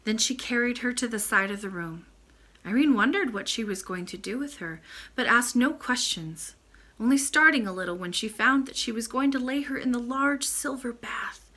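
A woman in her thirties reads aloud as a bedtime storyteller. Her voice is bright and expressive, recorded at about arm's length in a small room. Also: on the high side, moderate pace. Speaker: storytime reader. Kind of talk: expressive bedtime story reading with informal asides. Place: small room with bookshelves, indoors.

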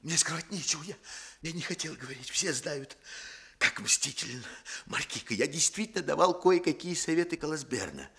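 Cornered, fawning suspect for radio drama; sharp, husky voice, frightened stammer. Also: male voice, on the high side, animated, moderate pace, heavily acted. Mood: evasive, pleading. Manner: obsequious, faltering, stammering. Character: frightened, evasive Sicilian male suspect under interrogation, servile schemer. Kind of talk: Soviet radio drama acting.

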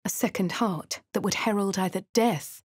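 A woman with a posh English accent speaking a game hero's lines and first-person narration in a measured way. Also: medium pitch, darkish tone, breathy edge, dry recording, studio quality. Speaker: player character voice. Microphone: studio mic.

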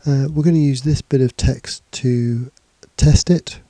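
Male tutor, stuffy from a cold, very dark and husky tone, relaxed and halting delivery, dry close sound. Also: mid-range voice, no room sound. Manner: casual, hesitant with fillers and restarts. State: has a cold, bunged up. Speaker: computing teacher. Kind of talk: screencast tutorial. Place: indoors, voice-over on slides and screen recording.

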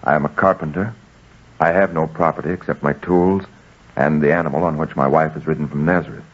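An actor playing a humble, earnest carpenter in a 1940s radio drama, speaking in a measured, deferential way. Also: male voice, very deep voice, steady tone. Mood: humble, pleading. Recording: dull, husky-sounding 1940s radio recording.

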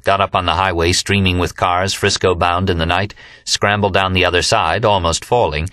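Low-voiced American man narrating a novel. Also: fairly bright tone, no room sound, clean studio sound. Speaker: audiobook narrator. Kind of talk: scripted first-person novel narration.

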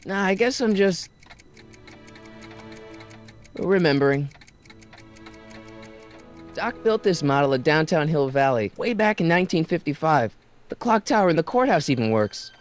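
A man's voice: on the high side, big pitch swings, measured pace, pauses often, soft background music. A young American man acting an earnest, anxious teenage boy, loyal to his friend, in a video game. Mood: wistful. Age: young adult.

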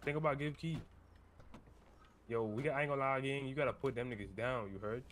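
A man's voice: mid-range voice, a little fast, a bit bright, a little hiss or hum. A young man in casual, slangy game banter, brash, urgent and repeating himself. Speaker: online role-play game player. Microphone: gaming voice chat.

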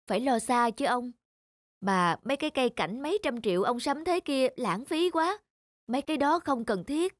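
A woman voicing a worried, nagging, middle-aged wife in an animated cartoon, reproachful and fretful. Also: high-pitched, normal speed, no room sound, clean studio sound.

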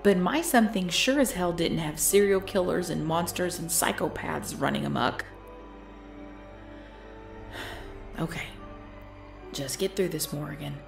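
A woman playing a cute, easily scared girlfriend in an intimate ASMR roleplay, pleading and whiny, often hushed. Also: fairly low pitch, moderate pace, gravelly, over music. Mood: nervous, muttering. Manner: pleading, whiny, dropping into hushed self-talk. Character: cute, jumpy girlfriend frightened by scary movies. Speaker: roleplay audio performer.

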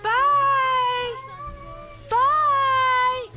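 A woman's voice: very high-pitched, flat delivery, extremely slow. A woman in her thirties with an American accent, chatting casually and cheerfully to a family vlog camera with gushing exclamations. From United States. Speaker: family vlogger and mother. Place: handheld family camera in car, house and yard. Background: background music.

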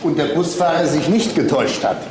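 An actor playing a suspicious detective sidekick with a dry, sardonic way of talking in a crime radio drama. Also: male voice, fairly high pitch, animated, a little fast, background noise, close to an everyday voice.

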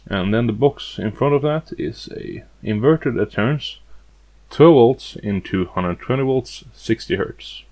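A man's voice: medium pitch, lively intonation, a little slow, darkish tone. A man narrating a step-by-step demonstration in a plain, matter-of-fact manner. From outside the United States. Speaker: hobbyist demonstrating a vintage gadget.

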